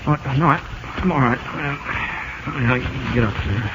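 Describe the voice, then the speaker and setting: An actor in a 1950s radio drama playing a modest, self-doubting young ballplayer with a hesitant, folksy way of talking. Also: male voice, medium pitch, unhurried, close to an everyday voice. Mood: flustered. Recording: noisy, muffled 1950 radio recording.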